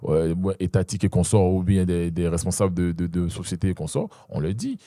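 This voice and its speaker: A young man with an Ivorian French accent speaks fast in a low, dark voice, animated and full of restarts. The sound is dry and close. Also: no echo. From Ivory Coast. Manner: animated, repeats and restarts, addresses listener with "tu vois". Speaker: guest, Muay Thai champion. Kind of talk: casual interview talk.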